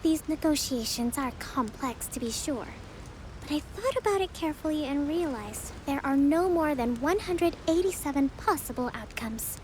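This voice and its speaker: An actress playing a young priestess-leader who speaks in a calm, measured, reassuring way, in a video game. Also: female voice, high voice, background noise. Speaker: divine priestess of an island. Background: game music.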